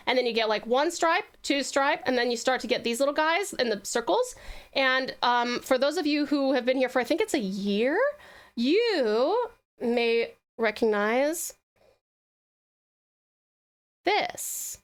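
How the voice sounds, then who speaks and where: A woman's voice: sits high, lively intonation, measured pace. A woman hosting a casual livestream, chatting sweetly and effusively with her viewers and using lots of endearments. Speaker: livestreaming virtual streamer host.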